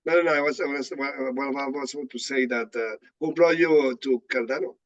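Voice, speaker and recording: A man's voice: mid-range voice, a little fast, highs cut off. A middle-aged man from Colombia with a Spanish accent, hosting a casual meeting and repeating words in an encouraging way. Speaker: host. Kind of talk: casual video-call meeting.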